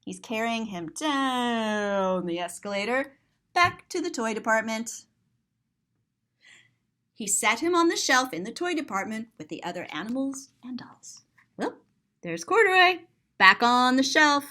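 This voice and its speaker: A woman storyteller with a high, very lively voice reads a picture book to young children. She is expressive and gives simple explanations as she goes, taking her time. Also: a little slow. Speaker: storyteller, author and teacher. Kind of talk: children's read-aloud with explanatory asides.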